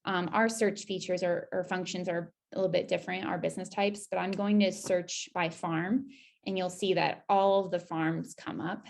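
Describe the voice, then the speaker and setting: A woman with a slightly low, noticeably creaky voice walks listeners through a website demo. Her delivery is casual and conversational, with informal, upbeat asides as she explains each step. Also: flat delivery, normal speed. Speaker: presenter giving a website demo. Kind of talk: casual screen-share walkthrough.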